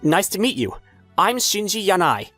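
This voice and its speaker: A man's voice: high-pitched, sing-song, moderate pace, sharp tone, soft background music, heavily acted. A man playing an earnest, polite young salesman who narrates his own story in a manga dub.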